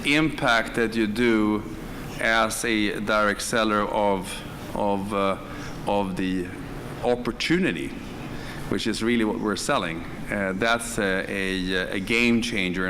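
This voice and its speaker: A man from northern Sweden with a Swedish accent, telling his story on a conference panel in a hesitant, pausing way. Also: lowish voice, steady tone, long reverb tail. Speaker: conference panelist, direct selling executive. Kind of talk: unscripted personal storytelling on a panel. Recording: live conference room, clear background noise.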